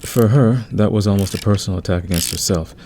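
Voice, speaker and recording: A calm veteran thief acted by a man with a very low, creaky voice. He is patient and friendly, smoothing things over conversationally in an audio roleplay, with some noise behind him. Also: quick pace, lightly acted. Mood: gentle, explanatory. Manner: soothing, patient, chuckling, conversational. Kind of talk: ASMR audio roleplay acting.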